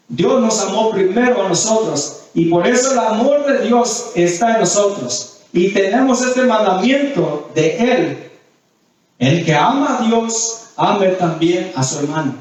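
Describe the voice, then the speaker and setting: A man's voice: sits high, a little mellow. A man preaching a sermon in a didactic way, reading scripture, questioning the congregation and repeating phrases for emphasis. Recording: very echoey hall, distant mic.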